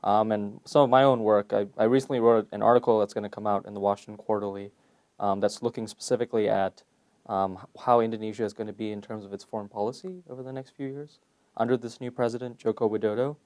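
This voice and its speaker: Young man from Malaysia, low-pitched, measured academic interviewee, thoughtful with fillers. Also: steady tone, a little mellow. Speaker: PhD candidate, interview guest. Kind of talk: conversational interview answers. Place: conference venue.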